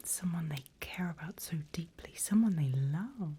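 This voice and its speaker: A woman playing a seasoned demon mentor in a whispered ASMR roleplay, breathy and deliberate, playfully sinister and teasing. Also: fairly low pitch, expressive, dark tone, a little hiss or hum, natural voice. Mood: ominous storytelling.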